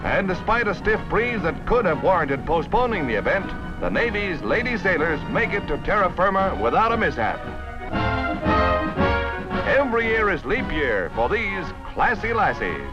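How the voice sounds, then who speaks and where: A man's voice: sits high, sing-song, moderate pace, dull audio, over loud music. An American man narrating a 1950s newsreel in a polished, dramatic, declamatory style on a vintage soundtrack. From United States.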